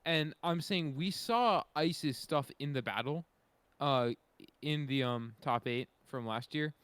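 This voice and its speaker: A man's voice: a little high, slow pace, fairly bright tone, clean tone, no echo. Casual male podcast panelist, dry sound. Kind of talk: casual opinionated chat.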